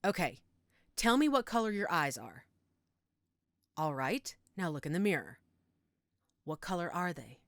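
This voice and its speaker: A woman's voice: fairly low pitch, big pitch swings, measured pace, pauses often, a bit bright, dry recording, studio quality, full character voice. A woman playing a frank, caring female werewolf in an audio roleplay, matter-of-fact and reassuring with a wry edge. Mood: firm, explaining. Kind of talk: audio roleplay drama, one-sided conversation.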